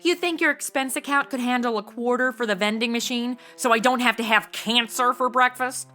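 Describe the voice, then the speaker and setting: A woman's voice: on the high side, brisk, bright, forceful tone, soft background music. A woman acting as a sassy, flippant modern young woman in a comedy audio drama, sarcastic and exclamatory. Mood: flippant, complaining.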